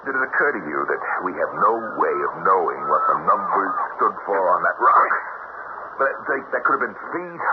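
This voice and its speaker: A man's voice: low-pitched, very animated, brisk, phone-quality sound. An actor playing a wary, skeptical adventurer who also narrates his tale in a 1970s radio drama. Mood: weary, exasperated. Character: wary, skeptical adventurer and narrator, treasure hunter's old partner. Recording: very noisy old radio broadcast.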